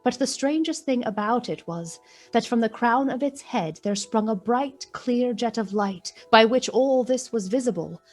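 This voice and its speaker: A woman playing the storyteller of a Victorian ghost tale, with measured, literary, expressive delivery in a radio play. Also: on the high side, soft background music, close to an everyday voice. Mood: measured, wondering. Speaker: narrator.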